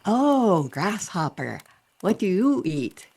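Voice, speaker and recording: A woman's voice: medium pitch, very animated, vocal fry, husky, voice pushed up, heavily acted. A woman voicing a proud, naive male frog in a joke, with stretched wide-mouthed words and exaggerated greetings. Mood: eager, boastful. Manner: loud, exaggerated, drawn-out greetings. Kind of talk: spoken joke storytelling.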